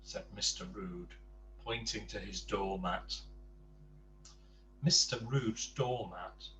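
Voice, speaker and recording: A man reading a children's storybook aloud in an expressive, emphatic way. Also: on the low side, takes it slowly. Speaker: bedtime story reader. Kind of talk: animated children's storybook narration with casual asides. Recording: distant mic with faint background noise.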